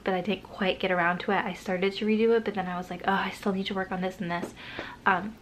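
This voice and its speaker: Young woman, stuffy nose from a cold, casual rambling vlog chat, middle pitch, faint background noise. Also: a bit bright, rough recording. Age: young adult. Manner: chatty, rambling, self-deprecating. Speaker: writing vlogger.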